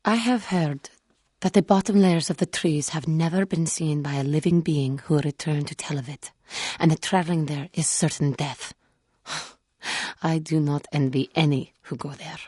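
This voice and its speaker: A proud alien warrior woman from a video game. The voice is low and husky, recorded close and dry. She speaks in formal, measured phrases with a wounded, solemn edge. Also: fairly even intonation, darkish tone, dry recording. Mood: solemn, wary. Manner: formal, measured, stilted earnest diction. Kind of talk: scripted game character dialogue.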